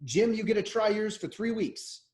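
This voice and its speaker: A man's voice: sits high, lively intonation, moderate pace, darkish tone. An American man in his thirties co-hosting a podcast, talking politics in an opinionated, rambling way with frequent restarts. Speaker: podcast co-host. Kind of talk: casual political chat.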